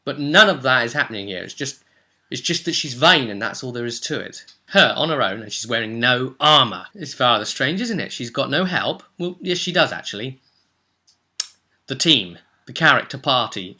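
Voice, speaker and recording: Bright-voiced man recapping a tabletop game. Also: medium pitch, animated, moderate pace. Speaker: host and game master.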